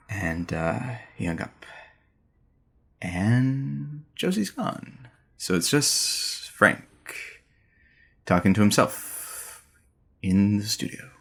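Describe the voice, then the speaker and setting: A man's voice: lowish voice, creaky, a bit bright, husky, exaggerated voice. A man acting as an awkward, conciliatory sidekick in a radio studio, casual and a little hesitant. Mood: awkward, deflated. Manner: hesitant, placating. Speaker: radio co-worker who reads the credits.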